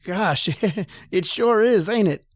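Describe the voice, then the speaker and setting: A man's voice: sits high, animated, slow, no room sound, studio quality, full character voice. Man playing a stammering dim lab assistant. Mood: nervous. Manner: stammering, folksy, meek.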